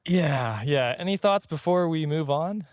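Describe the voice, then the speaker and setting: A man's voice: on the high side, animated, a little slow, a little mellow, no echo, clean studio sound. A man hosting a podcast in casual, comedic chat, joking and chuckling as he goes. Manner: jokey, chuckling often. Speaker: podcast host.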